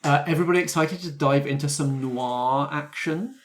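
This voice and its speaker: A man's voice: a little high, normal speed, a little hiss or hum. A man hosting and game-mastering a tabletop roleplay show, moving between announcements, narration and chat.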